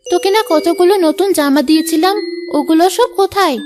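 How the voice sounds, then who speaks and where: A woman's voice: very high voice, brisk. A woman voicing a blunt, gluttonous, demanding grown daughter in a cartoon. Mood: indignant. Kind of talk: Bengali cartoon dub. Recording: music behind the voice.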